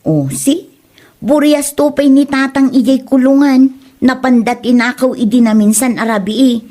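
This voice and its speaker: Mellow, chatty woman friend. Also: high voice, fairly even intonation, normal speed. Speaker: gossiping friend and passenger. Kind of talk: comedic audio drama acting. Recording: music bed behind the voice.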